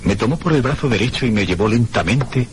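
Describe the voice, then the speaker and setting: An actor with a Castilian Spanish accent playing a troubled traveller who narrates an eerie radio drama in a measured, literary way. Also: male voice, mid-range voice, animated, slight background noise, lightly acted. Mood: brooding, reflective. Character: troubled, brooding male traveller recounting an eerie tale. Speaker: narrator and protagonist. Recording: radio drama with music behind. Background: prominent music.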